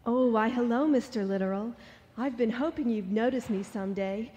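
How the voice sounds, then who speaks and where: A woman's voice: a little high, normal speed, mellow, in a large hall, highs cut off, lightly acted. A woman playing a gushing, lovestruck swooner. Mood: swooning. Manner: breathy, gushing, vague. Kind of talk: radio comedy sketch.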